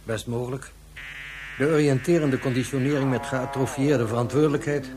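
An actor playing a courteous, politely condescending scientist in a radio drama, speaking in a composed clinical way. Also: male voice, medium pitch, fairly even intonation, a little fast, background noise, lightly acted. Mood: clinical, brisk. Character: composed, courteous male scientist interrogating with brain-scanning apparatus.

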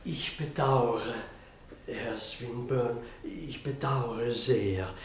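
A man's voice: mid-range voice, fairly even intonation, extremely slow, a little hiss or hum. A man playing a shy, very old country clergyman in a German radio play, hesitant and formally apologetic, repeating himself in agitation. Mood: meek, apologetic. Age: older.

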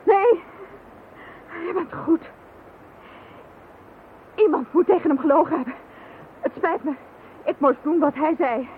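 An actress playing a frightened, confused young telepath in a Dutch radio drama, hesitant and pleading. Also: female voice, high-pitched, animated, a little slow, long pauses. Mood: distressed. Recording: muffled narrow-band phone-like audio, noisy.

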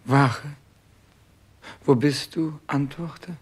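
An actor playing a dying, bewildered, self-absorbed king in a 1960s German radio play, declaiming in a halting, incantatory way. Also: a little high, steady tone, a little hiss or hum. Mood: anxious, calling out.

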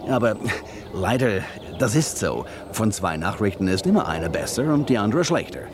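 A man's voice: on the low side, very animated, normal speed, a crowd in the background. An actor playing a cynical male corporate spy, mocking in tone and slipping words into his talk. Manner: mocking, mixes English phrases into German. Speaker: industrial spy. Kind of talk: radio drama acting.

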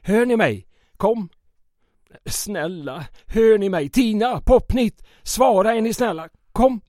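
Anxious, fussy mayor for old-time radio drama: high-pitched, courteous, easily flustered, dry studio sound. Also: male voice, lively intonation, a little mellow, dry recording. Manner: nervous, stammering, effusively polite. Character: anxious, fussy male town mayor secretly aiding resistance.

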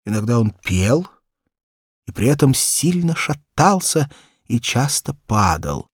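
A man narrating a children's story as a scripted audiobook, with expressive, measured delivery and deliberate pauses. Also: mid-range voice, breathy edge, dry recording, clean studio sound.

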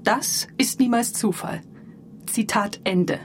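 A woman's voice: a little high, slow. A woman reading out a quoted text and commenting on it. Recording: slightly noisy recording with room ambience.

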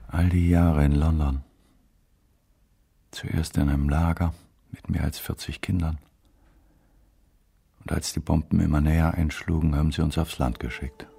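An actor playing an elderly emigrant in a radio drama monologue, brooding over a wartime childhood in broken phrases. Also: male voice, extremely low pitch, flat delivery, moderate pace, gravelly, long pauses, mellow, breathy edge, heavily acted. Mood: bitter, brooding. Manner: fragmented, brooding recollection. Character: old male emigrant recalling a beaten wartime childhood.

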